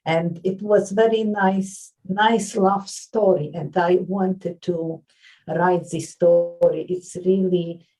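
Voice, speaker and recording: A Lithuanian woman who works as a nurse and writes books, answering interview questions in halting English with frequent pauses and fillers. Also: on the low side, fairly even intonation, dark tone, distant mic, low-quality recording. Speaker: author and nurse.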